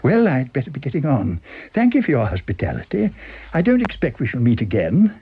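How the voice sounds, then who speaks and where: A man's voice: mid-range voice, huge pitch swings, brisk, husky, narrow, tinny audio, full character voice. A man voicing a mysterious, chatty male stranger who is breezy, probing and insinuating in a dramatised story reading. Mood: breezy, ominous.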